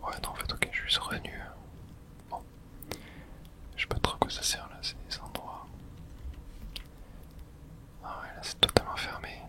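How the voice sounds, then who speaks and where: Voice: normal speed, long pauses, poor audio. Whispered French-language ASMR gaming commentary. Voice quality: breathy unvoiced whisper. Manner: casual, thinking aloud in short remarks. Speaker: video game streamer playing and commenting.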